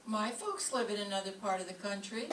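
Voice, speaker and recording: A woman plays a wry, sharp-tongued schoolteacher in an old-time radio comedy. She delivers her lines with deadpan sarcasm. The sound is distant and echoey, with faint noise. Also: mid-range voice, fairly quick, close to an everyday voice. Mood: dry, wry. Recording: distant roomy stage recording, faint noise.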